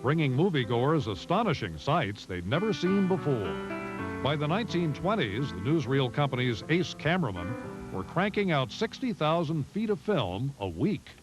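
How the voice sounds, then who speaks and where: A man's voice: a little high, very animated, normal speed, rough recording. American man narrating over prominent music. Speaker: narrator and reporter. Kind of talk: scripted documentary narration. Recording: loud music bed behind the voice.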